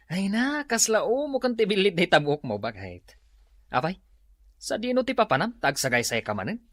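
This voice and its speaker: A woman's voice: medium pitch, sing-song, normal speed, no echo. A woman with a Filipino accent playing a teasing, scolding woman in a comedic radio drama.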